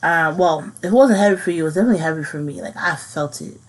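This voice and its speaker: A woman in her thirties hosting her own podcast, speaking earnestly and haltingly about something personal, repeating phrases as she works through it. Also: low voice, rough recording. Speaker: podcast host. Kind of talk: personal reflective monologue.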